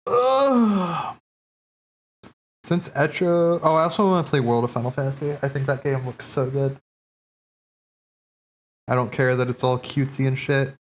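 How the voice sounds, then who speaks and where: A man's voice: medium pitch, a little slow, pauses often. A man streaming a reaction to game cutscenes, chatting casually and thinking aloud with lots of fillers and restarts. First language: English. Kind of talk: casual livestream chat.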